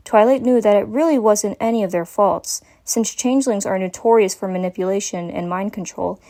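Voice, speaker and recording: A woman's voice: mid-range voice, warm tone. A woman giving a dramatic reading of a scripted story as its narrator.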